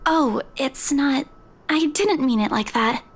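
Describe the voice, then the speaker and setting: An eager young estate agent woman from a game. Her voice is high and light. She talks quickly when flustered and turns defensive under criticism. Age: young adult. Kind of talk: video game voice acting.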